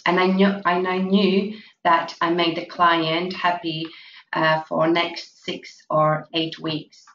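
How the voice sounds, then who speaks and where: A woman from Latvia presenting an investment opportunity, speaking halting full of fillers. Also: on the low side, flat delivery, a bit bright. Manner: halting, many fillers, non-native grammar. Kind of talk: promotional presentation. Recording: echoey, distant, dull lo-fi with faint noise.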